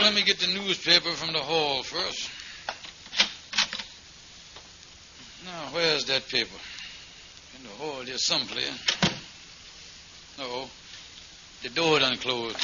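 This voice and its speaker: An actor playing a henpecked middle-aged schemer in an old radio sitcom, stammering and mangling big words. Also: male voice, fairly high pitch. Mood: grumbling. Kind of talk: 1940s-50s radio sitcom acting. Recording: muffled, very noisy vintage radio recording.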